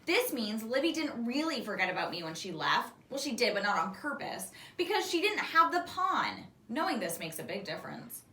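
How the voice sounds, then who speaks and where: A woman's voice: fairly high pitch, sing-song, moderate pace, few pauses, sharp tone. A woman reading a children's book aloud expressively, in the style of a teacher. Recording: echoey room, distant microphone.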